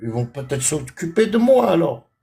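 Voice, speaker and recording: A man's voice: a little high, sing-song, moderate pace, creaky, mellow, husky. A man from France talking on a phone live stream, indignant and repetitive, dropping Arabic words into his speech. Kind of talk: heated casual live-stream rant.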